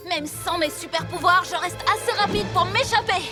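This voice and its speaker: A woman's voice: very high-pitched, normal speed, over music. A woman voicing a sensible, confident young girl superhero in a children's cartoon, firm and defiant. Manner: firm, clear-headed, defiant. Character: sensible, quick-witted, confident six-year-old girl superhero.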